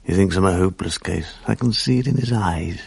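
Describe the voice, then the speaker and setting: An actor playing a weary, hard-drinking, self-pitying English TV producer in a radio drama, wry, rueful and rambling. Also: male voice, sits low, fairly quick, gravelly, darkish tone, slightly rough, no echo, heavily acted. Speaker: lead actor and narrator. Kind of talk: confessional radio drama monologue.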